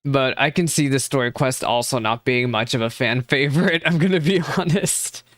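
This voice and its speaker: A streamer chatting candidly and reacting with quick exclamations to a game's cutscenes on a close mic. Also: mid-range voice, a bit bright, dry recording. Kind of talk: casual reaction commentary.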